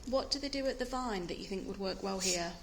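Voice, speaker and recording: Gentle woman interviewer, noisy recording. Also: mid-range voice, fast. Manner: gentle, prompting questions. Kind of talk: community interview.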